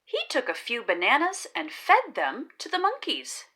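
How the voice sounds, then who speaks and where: A woman hosting a children's read-aloud, narrating the story expressively and deliberately. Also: sits high. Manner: deliberate, animated storytelling delivery.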